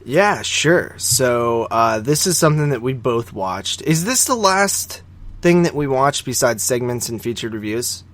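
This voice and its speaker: A man with a medium-pitched voice chats casually as a podcast co-host. He rambles through his opinions on films and tends to repeat words when he wants to stress a point. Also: animated, unhurried, a bit bright. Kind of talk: casual conversational film discussion.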